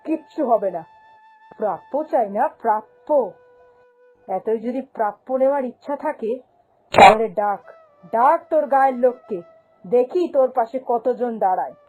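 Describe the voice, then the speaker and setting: A woman's voice: a little high, animated, normal speed, pauses often, phone-quality sound, faint music behind, natural voice. A woman voicing a greedy, domineering, haughty female shop boss in a cartoon, scolding and dismissive. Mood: angry, contemptuous. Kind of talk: cartoon fairy-tale voice acting.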